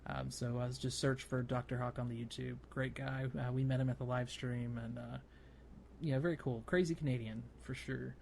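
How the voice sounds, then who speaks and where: A man co-hosting a casual gaming podcast, talking in a halting, rambling way with repeated words. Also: mid-range voice, fairly even intonation, creaky, darkish tone, slight background noise. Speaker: podcast co-host.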